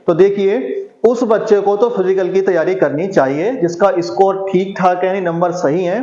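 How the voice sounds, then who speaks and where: A man's voice: high-pitched, moderate pace, mellow. A man giving an exam-prep lesson, asking himself rhetorical questions, answering them and repeating key figures. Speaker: exam coaching teacher. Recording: echoey room, dull lo-fi sound.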